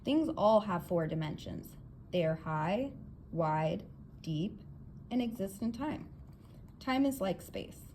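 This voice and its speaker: A woman's voice: mid-range voice, lively intonation, a little mellow. Thoughtful, reasoning doctor, read by a woman. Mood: thoughtful, agreeing. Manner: measured, reasoning step by step. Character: reasonable, thoughtful male doctor weighing ideas. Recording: clear background noise.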